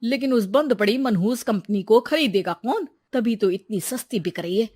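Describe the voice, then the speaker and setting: A woman's voice: on the high side, lively intonation, moderate pace, a little mellow. A woman playing a practical, blunt middle-aged seamstress who helps out like an aunt. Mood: sceptical.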